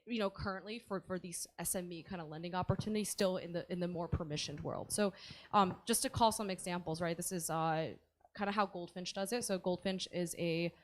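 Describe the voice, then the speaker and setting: A woman's voice: on the low side, steady tone, fairly quick. A woman speaking on a conference panel, explaining ideas with many "right?" tags and "um" fillers, into a handheld microphone. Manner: says she has a loud voice. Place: conference stage.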